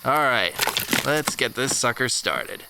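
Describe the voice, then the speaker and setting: A man's voice: medium pitch, expressive, takes it slowly. A young man playing a casual, joking bandmate. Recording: clear background noise.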